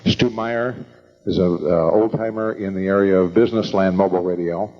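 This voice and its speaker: An older American man hosting an evening meeting, introducing speakers informally in a halting, rambling, folksy way. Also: low voice, steady tone. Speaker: event host introducing speakers. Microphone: event microphone. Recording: dull lo-fi event recording.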